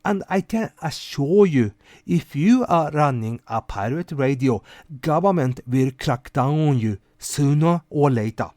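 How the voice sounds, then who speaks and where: A man's voice: medium pitch, a little mellow, dry recording, studio quality. A man hosting an informal commentary monologue, speaking conversationally with rhetorical asides to the listener. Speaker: host of a weekly rant video show.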